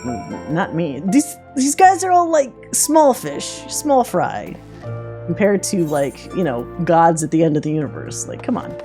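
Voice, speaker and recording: A woman's voice: fairly low pitch, sing-song, unhurried, dark tone. A woman streaming a game with casual, playful, sweary reactions and jokey asides, game music behind her. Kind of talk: casual live playthrough reaction.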